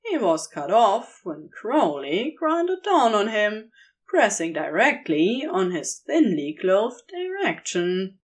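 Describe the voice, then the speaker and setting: A woman's voice: medium pitch, sing-song, takes it slowly. A woman narrating scripted romantic fan fiction in an intimate storytelling style.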